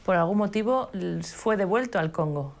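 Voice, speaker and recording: A woman's voice: medium pitch, animated, normal speed, gravelly. A woman conducting an interview, giving short prompts and follow-up questions. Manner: brief prompts and short backchannels.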